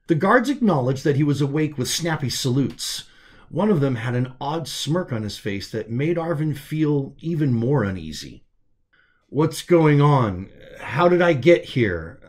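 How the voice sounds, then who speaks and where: A man with a medium-pitched voice narrates a science fiction audiobook. His delivery is scripted and measured, using dramatic pauses for weight. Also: a little mellow. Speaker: author narrating own story.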